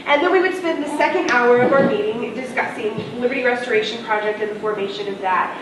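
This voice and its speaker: A woman's voice: on the high side, fast. A woman from Missouri speaks to an audience with a stuffy nose and a scratchy throat, her delivery loose and full of fillers. The recording is distant and echoey, caught from across a large room. Heavy noise hisses underneath. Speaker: activist giving a talk. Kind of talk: public talk to a live audience. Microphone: distant microphone.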